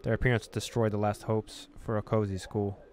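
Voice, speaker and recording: A man's voice: fairly low pitch, level tone, a little fast, a little mellow, faint music behind. A man reading a visual novel aloud as a gamer, mixing story narration with casual commentary. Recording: noticeable background noise.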